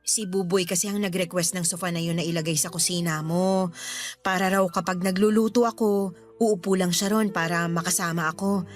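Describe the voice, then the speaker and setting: A woman's voice: on the low side, steady tone, a little fast, soft background music, natural voice. A woman playing a long-suffering middle-aged girlfriend in a Tagalog radio drama, answering with dry retorts. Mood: restrained, apologetic.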